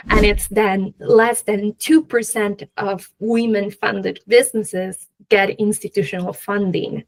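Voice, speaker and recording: A woman with a Peruvian accent, talking as a guest expert in a conversational interview, halting and often laughing lightly mid-sentence. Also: mid-range voice, flat delivery, rough recording. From Peru. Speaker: guest expert, venture capital professional.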